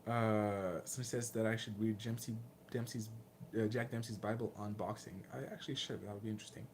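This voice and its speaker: A man in his late twenties with a Lithuanian accent, casually answering viewer questions in a candid, self-deprecating, halting way. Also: fairly low pitch, steady tone, a little mellow, slight background noise. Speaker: martial arts vlogger and fighter.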